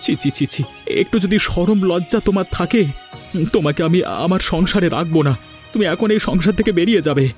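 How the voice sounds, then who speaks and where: Man playing a high-pitched, aggrieved village husband. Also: a little fast, darkish tone, soft background music, lightly acted. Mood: disgusted, angry. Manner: dramatic, lamenting. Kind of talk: Bengali cartoon voice acting.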